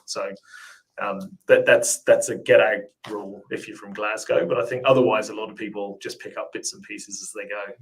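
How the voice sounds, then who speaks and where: A man's voice: on the low side, fairly even intonation, normal speed, rough recording. A man with a mixed English and Australian accent, a podcast guest talking reflectively, with many ums and restarts. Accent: English-Australian mix. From England. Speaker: podcast guest, finance officer. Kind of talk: casual interview chat.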